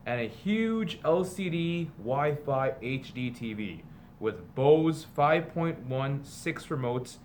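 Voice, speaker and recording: Man reading aloud over clear background noise. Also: medium pitch, expressive, takes it slowly. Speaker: teacher reading aloud to students. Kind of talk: children's picture-book read-aloud with casual asides.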